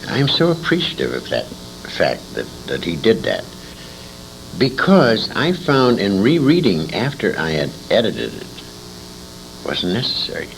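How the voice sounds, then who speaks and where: A man's voice: on the low side, wildly swooping pitch, measured pace, gravelly. An older American man telling war stories conversationally, with an emphatic, dramatic delivery that leans on repeated phrases. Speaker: interviewee, war veteran and writer. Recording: noisy amateur recording with room ambience.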